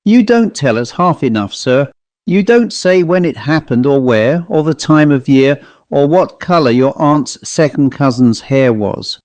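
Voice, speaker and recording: Man as a dapper, higher-pitched argumentative traveller. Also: animated, measured pace, darkish tone, no echo. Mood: critical. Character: dapper, bright-eyed man, smart, opinionated, argumentative traveller.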